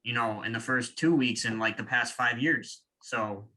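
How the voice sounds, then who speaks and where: A young man co-hosting a casual sports podcast, talking in an animated, joking way with quick opinions. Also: medium pitch, poor audio. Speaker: podcast co-host.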